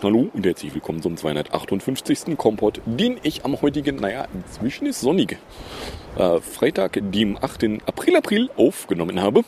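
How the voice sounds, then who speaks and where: A man's voice: mid-range voice, huge pitch swings, brisk, a little mellow, slight background noise. A man hosting a solo podcast who reads out news items and breaks in with sarcastic, rambling comments. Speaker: podcast host.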